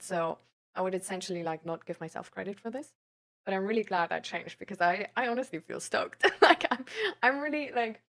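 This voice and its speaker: A woman's voice: on the low side, gravelly. A young adult woman chatting casually as a live streamer, explaining things in an informal, rambling way with lots of filler tags. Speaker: live streamer talking with chat viewers. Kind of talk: casual livestream chat about mental health and self-improvement.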